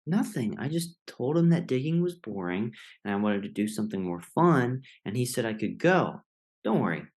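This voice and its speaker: A woman's voice: rumbling low, big pitch swings, normal speed, a little mellow. A woman playing a naive young male mouse in an audio drama, defensive and easily bored. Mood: breezy.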